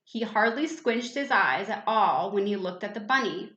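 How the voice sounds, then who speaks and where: Bright-voiced woman reading a children's storybook aloud. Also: mid-range voice, echoey room, lo-fi sound. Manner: expressive, pausing for questions and asides to young listeners. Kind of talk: animated storybook read-aloud to young children.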